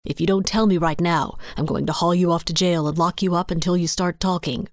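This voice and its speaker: A woman's voice: fairly even intonation, a little fast, no echo, clean studio sound, natural voice. A woman voicing a stern, suspicious male sheriff with a commanding manner in an audiobook story. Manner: low, clipped, commanding. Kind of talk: audiobook character dialogue.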